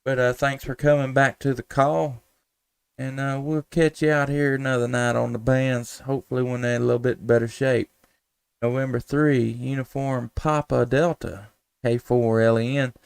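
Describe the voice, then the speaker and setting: Male amateur radio streamer. Very crisp, resonant voice, dry recording, folksy and unhurried in his radio chat. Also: mid-range voice, little pitch movement, no room sound. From United States, Tennessee. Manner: folksy, easygoing, with radio call-sign phrasing. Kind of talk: casual ham radio chat on a live stream.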